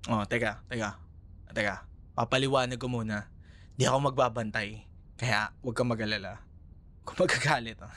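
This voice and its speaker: A man's voice: medium pitch, steady tone, moderate pace, pauses often, pushed and bright, lightly acted. A man with a Filipino accent playing a young, caring, teasing ex-boyfriend in a casual, hesitant ASMR roleplay conversation. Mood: sheepish, explaining. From Philippines. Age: young adult.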